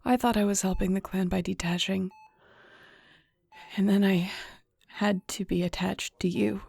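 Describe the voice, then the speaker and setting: A woman acting a troubled werewolf alpha, gravelly fry. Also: mid-range voice, little pitch movement, a little slow, a little mellow. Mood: scared, vulnerable. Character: troubled female werewolf alpha, hurt, stubborn, self-doubting. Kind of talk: audio roleplay drama acting.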